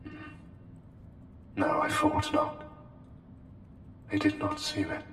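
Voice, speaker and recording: In a reverberant hall with music behind, a man voices a courtly, intense male plague doctor, something not quite human. He speaks low and very slowly in formal, old-fashioned phrases with careful pauses, as intimate ASMR roleplay. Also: fairly bright tone. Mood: slyly menacing. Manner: formal, archaic wording, hesitant pauses, deliberate.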